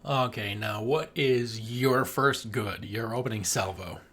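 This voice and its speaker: A man's voice: mid-range voice, unhurried, a bit bright, breathy edge. A man co-hosting a casual film review podcast, talking in a gushing, emphatic, sweary way. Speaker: podcast co-host.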